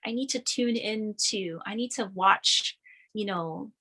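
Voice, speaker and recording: A woman from Cameroon speaking as a guest in a reflective spiritual conversation, affirming and searching gently for words. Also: medium pitch, a little slow.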